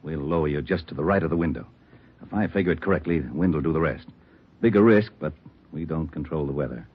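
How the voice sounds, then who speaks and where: Very deep, husky fire chief. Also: male voice, fast talker. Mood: calm, practical. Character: unruffled, gruff fire department chief. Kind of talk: 1940s radio drama acting. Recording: muffled old radio recording.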